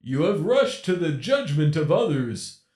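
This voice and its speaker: A man voicing a menacing animatronic captor in a storybook reading, talking in a slow, mocking, theatrical drawl. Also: on the high side, very animated, darkish tone, roomy sound, pitched above the performer's own voice. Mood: judging. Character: menacing male animatronic captor, gleeful and cruel.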